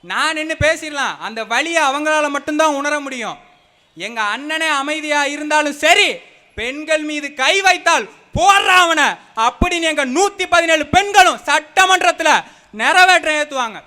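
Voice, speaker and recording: A man's voice: extremely high pitch, moderate pace, pushed and bright. A young man giving a fiery, emphatic political speech at a public meeting. He mixes slang with formal phrasing. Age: young adult. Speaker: party speaker at a public meeting.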